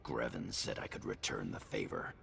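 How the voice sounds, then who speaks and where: An actor playing a brutal, greedy mercenary thug in a video game, with a gravelly voice. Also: male voice, extremely low pitch, steady tone, moderate pace, fairly bright tone, faint music behind, exaggerated voice. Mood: menacing. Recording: reverberant with clear background noise.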